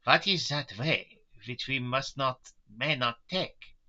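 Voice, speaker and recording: An alarmed man in a gothic storybook, put on by a male reader, asking urgently in a hoarse voice. The pitch is pushed up and the tone is piercing and tense. The delivery is drawn out and exaggerated. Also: expressive, slow, bright, forceful tone, highs cut off, heavily acted.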